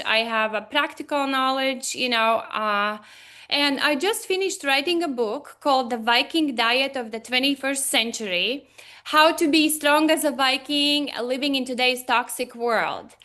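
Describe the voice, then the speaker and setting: A woman in her forties from Latvia with a Latvian accent, a guest on a video call speaking animated, slightly broken English. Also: high-pitched, moderate pace. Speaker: guest, Chinese medicine doctor. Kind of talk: casual interview talk.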